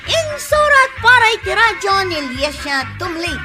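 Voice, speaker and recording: A woman's voice: very high voice, big pitch swings, moderate pace. A woman with an Ilocano accent reading scripted radio drama credits as the announcer, with music underneath.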